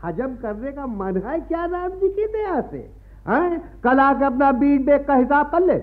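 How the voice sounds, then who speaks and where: An actor playing a sly, pious-talking village priest and moneylender, drawling unctuously with a rural north Indian accent in a radio play. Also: male voice, very high-pitched, lively intonation, a little slow. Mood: accusing, taunting. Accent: rural north Indian Hindi. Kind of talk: radio drama acting. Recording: tinny, phone-like archive radio recording.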